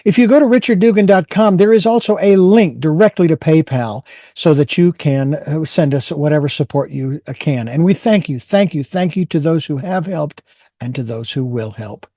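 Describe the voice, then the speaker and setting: A male show host with a somewhat high but mellow, soft-edged voice. He rambles a little, restarting sentences and filling gaps with "uh". The sound is close and dry, with no room around it. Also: moderate pace, dry recording. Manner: rambling with many fillers and restarts. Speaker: podcast host. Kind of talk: hosting an interview show.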